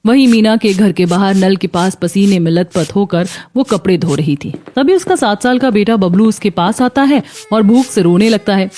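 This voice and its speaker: Mellow woman storyteller. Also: medium pitch, lively intonation, normal speed, few pauses. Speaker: narrator. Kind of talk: scripted moral story narration. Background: faint background music.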